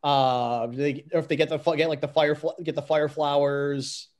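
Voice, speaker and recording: Halting man with a slightly high voice. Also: little pitch movement, darkish tone. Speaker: panelist on a script club livestream. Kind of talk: casual group chat.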